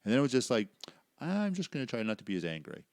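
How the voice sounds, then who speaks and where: A male podcast host with a loud voice, chatting eagerly and sharing his own stories with his guest. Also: medium pitch, wildly swooping pitch, fast talker, vocal fry, dry recording, clean studio sound. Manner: loud, chatty, eager, affirms often with "yeah". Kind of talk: casual interview chat.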